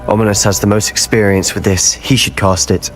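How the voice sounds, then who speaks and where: A man's voice: lowish voice, flat delivery, fairly quick, soft background music, close to an everyday voice. An actor playing a bold, bookish young male wizard student in a video game, speaking. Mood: determined. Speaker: game character.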